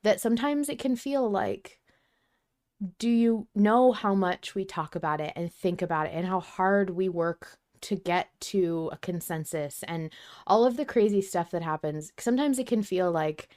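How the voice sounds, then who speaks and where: A woman's voice: medium pitch, moderate pace, a little mellow. A woman co-hosting a podcast in casual discussion, enthusiastic and candid, with casual swearing. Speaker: podcast co-host.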